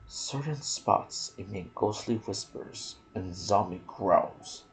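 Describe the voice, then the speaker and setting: A soft-spoken man hosting an ASMR gaming video, reading trivia with casual asides and sometimes dropping into a whisper. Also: on the low side, takes it slowly, slight background noise, rough recording.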